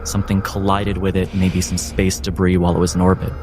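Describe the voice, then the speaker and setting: A man narrating a cinematic game as game master, telling it in measured, descriptive phrases. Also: low voice, completely flat delivery. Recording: music bed behind the voice.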